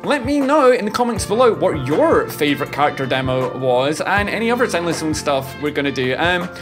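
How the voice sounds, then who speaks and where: A man's voice: a little high, big pitch swings, a little fast. A man in his thirties giving casual, exclamatory reaction commentary as a streamer, often repeating his phrases. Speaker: reaction video host, streamer. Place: indoor home streaming room. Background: music from the trailers being watched.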